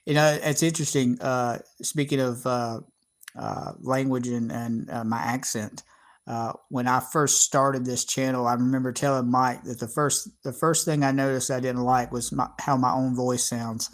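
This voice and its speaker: A man's voice: mid-range voice, steady tone, normal speed. Southern American man chatting on his stream. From Georgia, USA. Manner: rambling, many fillers and restarts. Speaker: channel host. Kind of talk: casual livestream chat.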